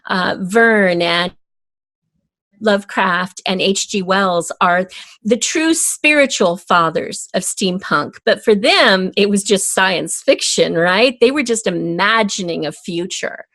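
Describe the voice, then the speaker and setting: A woman's voice: mid-range voice, slow, fairly bright tone, dry recording. A woman talking as a guest in a conversational interview, explaining her ideas thoughtfully. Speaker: podcast guest, audio drama writer.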